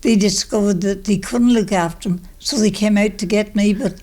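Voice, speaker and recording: A woman's voice: mid-range voice, steady tone, moderate pace, mellow. Elderly woman reminiscing plainly. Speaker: interviewee. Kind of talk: conversational reminiscence.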